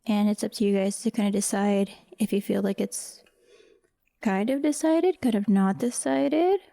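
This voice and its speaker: A woman giving casual behind-the-scenes commentary as she edits, halting and muttering to herself. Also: mid-range voice, vocal fry, dark tone. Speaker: voice actor, audio creator.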